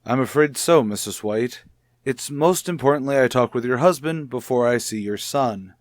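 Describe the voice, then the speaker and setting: An actor playing a composed, authoritative child psychologist in a 1950s radio soap, speaking formally and in a measured way. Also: male voice, mid-range voice, no room sound, natural voice. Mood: measured, professional.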